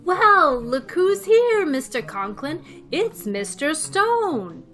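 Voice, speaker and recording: Woman as a wry schoolteacher, highish and animated. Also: slow, faint music behind, full character voice. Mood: wry, hurried. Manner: dry wisecracking. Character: wry, sardonic female high school English teacher. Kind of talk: 1950s radio comedy acting.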